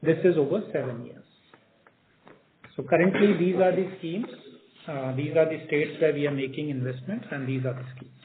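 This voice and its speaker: Indian exec guy on a conference call, voice a bit high, thin phone audio. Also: moderate pace. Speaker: company executive. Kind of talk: explaining on an earnings call. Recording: narrowband phone line with faint noise.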